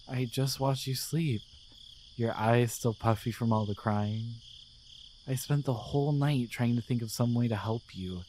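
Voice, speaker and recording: Slow, tender guardian angel, voiced by a man. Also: mid-range voice, slight background noise, natural voice. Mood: earnest, resolute. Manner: tender, hesitant, softly reassuring. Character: gentle, devoted male guardian angel in love with his human. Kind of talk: romantic ASMR roleplay.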